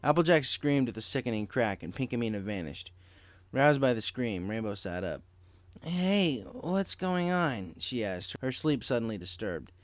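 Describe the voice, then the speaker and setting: A man's voice: lowish voice, moderate pace, no echo. A man reading a scripted story aloud as the narrator of a piece of fan fiction.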